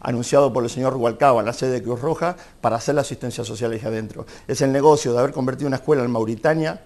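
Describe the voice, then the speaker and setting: A man's voice: mid-range voice, a little mellow. A man speaking combatively as a guest in a studio debate. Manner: rapid, combative. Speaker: panel guest.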